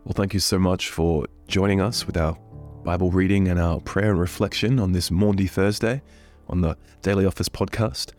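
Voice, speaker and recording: Hoarse, deep man leading prayer. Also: steady tone, normal speed, hardly any pauses, darkish tone, soft background music. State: hoarse from a head cold. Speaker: church minister hosting a prayer podcast. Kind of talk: leading liturgical prayer and spoken reflection.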